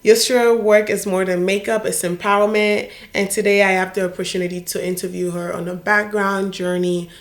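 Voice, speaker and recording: A woman's voice: medium pitch, level tone, moderate pace, few pauses, echoey room. A young woman hosting an interview, casual and slangy, stumbling over her words at times. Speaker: host.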